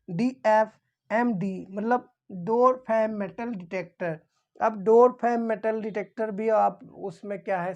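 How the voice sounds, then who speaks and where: A woman's voice: mid-range voice, a little mellow. A woman instructor giving an informal explanatory lesson, repeating key points and answering her own questions.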